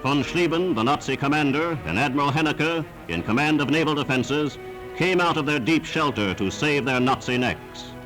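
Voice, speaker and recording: A man's voice: a little high, moderate pace, low-quality recording. A man narrating a scripted 1940s wartime newsreel in a formal, emphatic, declamatory manner, on a vintage soundtrack. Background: orchestral newsreel music.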